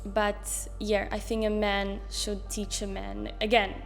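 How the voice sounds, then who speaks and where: A woman's voice: medium pitch, monotone, slow pace, faint music behind. A woman in her twenties from Israel, speaking English as an as an interview guest on a handheld mic, hesitant, with many fillers and restarts. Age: 20s. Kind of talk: casual interview.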